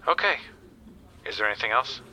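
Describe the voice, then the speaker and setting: An actor playing a reluctant, rule-bound call centre supervisor in an audio comedy drama, grudging and clipped. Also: male voice, mid-range voice, lively intonation, brisk, bright, forceful tone, low-quality recording. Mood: exasperated.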